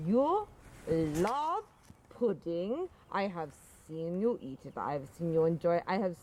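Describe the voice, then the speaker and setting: A woman's voice: fairly low pitch, sing-song, a little slow, darkish tone, a little hiss or hum. A woman playing a Russian carer, deferential yet stubborn, with a rambling, emphatic and formal way of talking in improvised comedy. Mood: indignant, insistent. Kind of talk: improvised comedy role-play.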